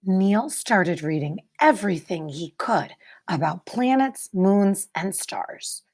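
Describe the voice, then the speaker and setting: A woman reading a picture book aloud like a teacher, expressively, with deliberate dramatic pauses and emphasis for young listeners. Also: medium pitch, animated, a little mellow, slightly rough. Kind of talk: expressive children's read-aloud narration.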